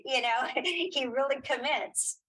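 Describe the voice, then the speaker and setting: A middle-aged woman chatting casually as an interview guest, giving opinions in halting, repeated phrases. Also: high voice, a bit bright, breathy edge, low-quality recording. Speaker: guest, playwright and audio drama creator.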